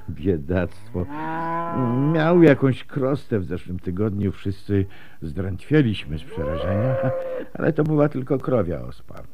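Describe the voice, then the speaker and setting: A man's voice: fairly low pitch, big pitch swings, slow, noisy background. An actor playing a talkative, pious, good-natured milkman in a folksy, chatty way in a Polish radio drama. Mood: chatty, cheerful.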